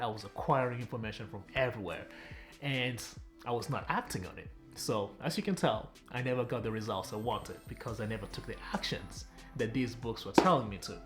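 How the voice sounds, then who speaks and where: A man's voice: mid-range voice, fairly bright tone. A young man from Tanzania, a relationship coach, telling viewers a personal story in a direct, chatty vlog style.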